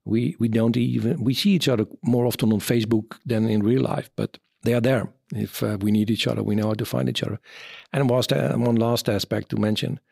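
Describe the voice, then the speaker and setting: Gravelly Dutch-accented man, halting speech. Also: mid-range voice, fairly even intonation, dark tone, dry recording, studio quality. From Netherlands. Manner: halting, searching for words, many fillers. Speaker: retired army officer, interviewee. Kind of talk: reflective interview answers.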